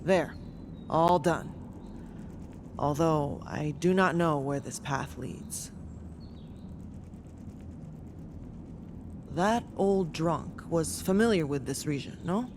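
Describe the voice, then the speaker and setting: A man playing a brisk, candid foreign-born carpenter who leads a travelling crew in a video game cutscene. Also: on the high side, noisy background. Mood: friendly, businesslike. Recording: game music behind the voice.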